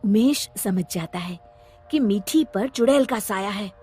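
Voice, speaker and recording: A woman storyteller giving an expressive, dramatic scripted narration of a children's story. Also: mid-range voice, measured pace, breathy edge, raised voice, faint music behind.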